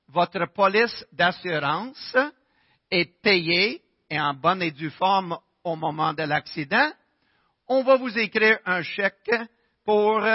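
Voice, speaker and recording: English-speaking Canadian pastor preaching in French, slow and deliberate, muffled close sound. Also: male voice, high voice, slightly rough, no room sound. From Winnipeg, Canada. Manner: conversational preaching with rhetorical questions. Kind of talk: sermon.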